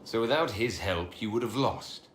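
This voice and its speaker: Game lead: inquisitive, teasing hero, fairly low, animated pitch, roomy sound. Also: male voice, normal speed, slight background noise. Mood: curious. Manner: probing, lightly wry. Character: curious, gently teasing male inquisitor hero. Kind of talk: dramatic game dialogue.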